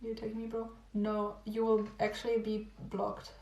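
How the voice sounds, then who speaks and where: A young woman chatting casually with livestream viewers, rambling and repeating herself with little laughs, her voice going hoarse. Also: fairly high pitch, completely flat delivery, unhurried, darkish tone, dull audio. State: losing her voice. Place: home room. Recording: echoey room, phone livestream.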